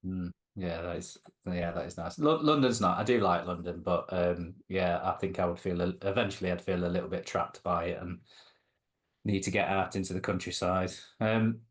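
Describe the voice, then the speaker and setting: Man, podcast host, lowish voice, hesitant, stumbling questions. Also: moderate pace. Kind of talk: casual interview chat.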